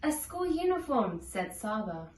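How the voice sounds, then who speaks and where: The voice comes from a little way off in an echoey room. It is a woman with a fairly high pitch, reading a children's story aloud. Her delivery is deliberate and measured, shaped for read-along listening. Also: sing-song, darkish tone. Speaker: storybook narrator. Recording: echoey room, distant from microphone.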